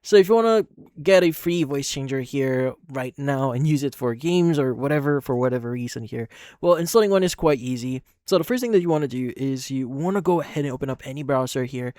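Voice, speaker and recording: A man hosting a software tutorial, instructing step by step in a repetitive way. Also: mid-range voice, expressive, a little fast, no echo, studio quality. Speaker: tutorial host. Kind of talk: screen-recorded software tutorial narration.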